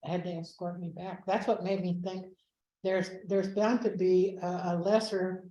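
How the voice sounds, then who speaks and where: A woman's voice: on the low side, level tone, unhurried, warm tone, muffled recording. A woman with a Texas accent asking friendly, rambling questions at a board meeting. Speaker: board member. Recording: echoey meeting room.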